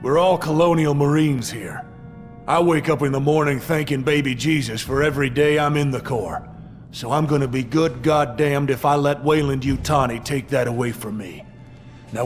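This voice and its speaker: A man playing a gruff, blunt, foul-mouthed marine captain who addresses troops in a commanding way in a video game. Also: medium pitch, lightly acted. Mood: fierce, determined. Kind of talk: military briefing and rallying speech in video game.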